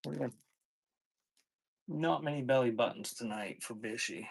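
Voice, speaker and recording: A man's voice: medium pitch, measured pace, creaky, slightly rough, rough recording. A man hosting a live online sale, reading out items and chatting casually in an offhand way. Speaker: online live-sale seller of vintage cassettes. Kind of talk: casual live-stream selling chat.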